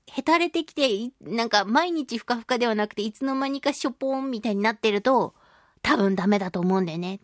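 A woman's voice: fairly high pitch, dry recording. A woman hosting a chatty radio talk show, speaking in a playful, theatrical way with mock-polite phrasing, close to a studio microphone. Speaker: voice actress. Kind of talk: chatty radio talk, reading listener mail.

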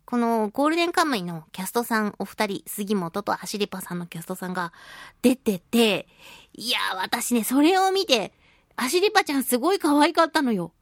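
A woman's voice: a little high, expressive, brisk, dry recording, studio quality. A woman hosting a radio talk show alone, chatting informally and thinking aloud in a giggly manner. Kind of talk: casual chatty radio monologue.

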